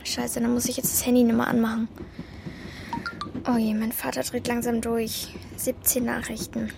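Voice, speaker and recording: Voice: medium pitch, completely flat delivery, moderate pace, gravelly, over music, lightly acted. A young performer playing a frank, resolute girl with a blunt, reassuring manner in a German children's radio drama. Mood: frustrated. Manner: blunt, matter-of-fact, reassuring. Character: frank, resolute girl, the boy's protective companion.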